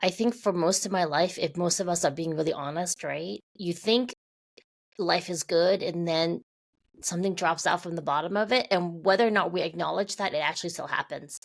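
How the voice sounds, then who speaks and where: A woman in her fifties, born in Taiwan, telling her life story as an interview guest, animated and quick to laugh. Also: fairly low pitch, gravelly. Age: 50s. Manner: animated, laughs often, acts out quoted voices.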